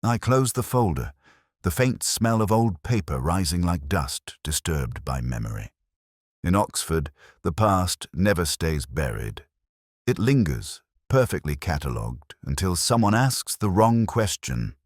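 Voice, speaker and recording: A middle-aged man reading a scripted first-person detective story in a measured, brooding manner, with deliberate pauses. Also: sits low, very animated, dry recording, studio quality. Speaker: narrator.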